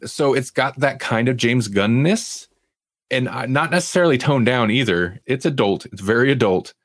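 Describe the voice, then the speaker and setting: A man's voice: mid-range voice, lively intonation, moderate pace, no echo. Casually rambling man with a dry, close sound. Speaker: podcast co-host reviewing TV series. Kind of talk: casual chat.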